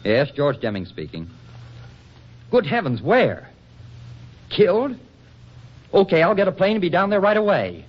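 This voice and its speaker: A man's voice: sits high, wildly swooping pitch, a little slow, long pauses, heavily acted. A man acting in a 1940s radio comedy as a wry, teasing newspaperman bachelor with a dry, bantering, theatrical delivery. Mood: urgent, alarmed. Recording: dull vintage 1940s radio recording.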